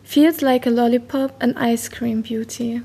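Teenage girl, student, raised pitch, monotone delivery, casual. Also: a little slow, breathy edge. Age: late teens. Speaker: school student. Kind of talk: casual account and poem reading.

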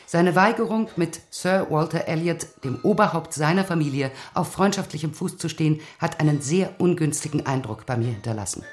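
Opinionated lady of rank, older, dignified, low voice, radio play, faint music. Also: animated, brisk, few pauses. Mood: stern, disapproving. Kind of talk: German radio play acting.

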